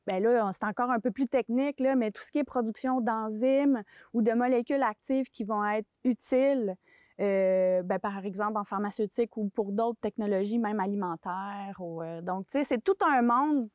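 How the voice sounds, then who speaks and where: A woman's voice: mid-range voice, moderate pace, darkish tone, no echo, clean studio sound. A Québécois woman, an expert on the mushroom sector, explaining it in an interview with frequent fillers and restarts. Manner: hesitant, many fillers and restarts.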